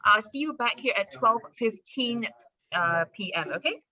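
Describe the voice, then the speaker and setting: Brisk woman organizer, bright voice. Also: on the high side, expressive. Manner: brisk and upbeat. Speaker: event organizer. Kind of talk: event hosting.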